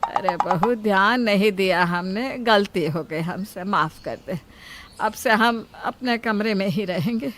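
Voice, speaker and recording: A woman's voice: medium pitch, unhurried, a bit bright, a little hiss or hum, pitched below the performer's own voice, close to an everyday voice. A woman voicing a long-suffering, pleading old mother-in-law in a family story. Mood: meek, apologetic. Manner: meek, pleading.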